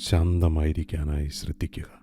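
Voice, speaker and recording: A man guiding a meditation in a calm, soft, hushed way. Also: rumbling low, moderate pace. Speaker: meditation guide. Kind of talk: slow guided meditation. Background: soft music.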